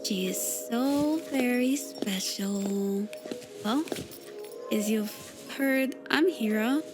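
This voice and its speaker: A woman plays a grumpy guild master who scoffs at everything with dry sarcasm. Her voice is very high but drawls out slowly, and clear music plays behind her. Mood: sarcastic. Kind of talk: scripted voice drama. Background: background music.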